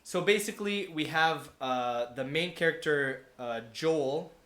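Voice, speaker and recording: A man's voice: fairly high pitch, unhurried, fairly bright tone. A man telling a story casually and off the cuff, rambling and often correcting himself as he goes. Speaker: podcast panelist pitching a movie adaptation. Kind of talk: casual, unscripted storytelling among friends. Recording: roomy, echoing room.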